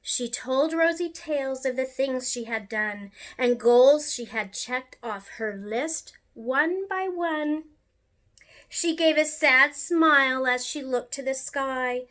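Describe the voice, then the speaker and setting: A woman reading a rhyming children's picture book aloud in an expressive, sing-song way. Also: high-pitched, slow. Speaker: storyteller.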